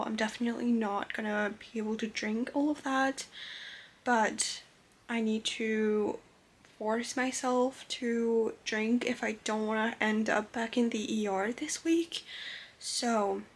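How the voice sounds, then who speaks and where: Slow, soft-spoken young woman. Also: on the high side. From Switzerland. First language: French. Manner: soft-spoken, unhurried, with many fillers. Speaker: vlogger. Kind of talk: casual vlog talk to camera.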